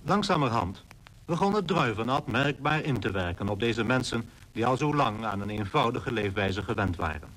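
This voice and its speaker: An actor playing a formal, earnest expedition leader, narrating in a measured way in a radio play. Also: male voice, medium pitch, expressive, fairly bright tone. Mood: measured narration. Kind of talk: scripted radio drama narration. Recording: noisy old radio play recording.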